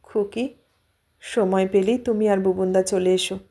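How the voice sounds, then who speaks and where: A woman's voice: medium pitch, fairly even intonation, unhurried, dark tone, slightly rough. A woman voicing a young widowed sister-in-law, dignified, resolute and full of grief, in an audio story. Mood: subdued, earnest.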